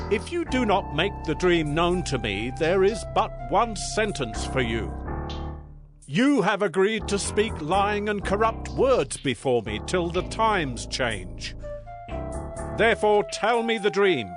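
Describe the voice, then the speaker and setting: An actor playing a stern, imperious king of ancient Babylon in a dramatized Bible recording, declaiming deliberately. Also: fairly high pitch. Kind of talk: dramatized Bible audio acting.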